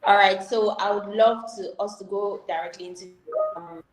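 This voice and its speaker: A woman's voice: mid-range voice, expressive, moderate pace, creaky. A Nigerian woman hosting a live online info session, welcoming viewers and reading out their comments. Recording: roomy echo.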